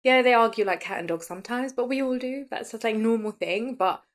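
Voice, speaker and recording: A woman's voice: a little high, a little fast. A woman in her late thirties talking casually and candidly as the host of a personal podcast, in a chatty, conversational way. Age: late 30s.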